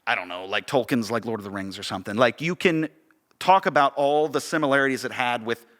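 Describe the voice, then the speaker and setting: A man's voice: mid-range voice, a little fast, a bit bright. A man with an American accent talking casually on a podcast as co-host, often searching for words and repeating phrases.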